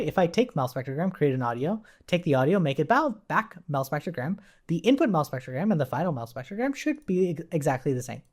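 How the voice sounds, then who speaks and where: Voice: mid-range voice, a little mellow. A person giving an informal live-coding tutorial, thinking aloud while typing and often checking in with "right?". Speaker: programming tutorial instructor. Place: indoors at a computer, keyboard typing behind.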